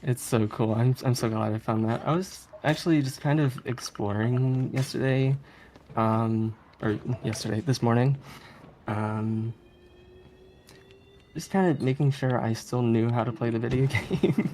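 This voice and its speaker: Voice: medium pitch, a little slow, mellow. A speedrunner giving casual live commentary in a hesitant, chuckly, playful way. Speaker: speedrunner commentating on their own game run. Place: live streamed event, voice over gameplay audio.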